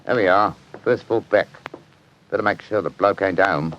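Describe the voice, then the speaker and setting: A man's voice: sits low, normal speed, lo-fi sound, pitched below the performer's own voice, natural voice. A man voicing a jaunty, cheeky, familiar Cockney workman in an old radio drama. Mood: casual. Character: Alfie, chirpy, cheeky Cockney workman, a detective in disguise. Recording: noisy old radio recording.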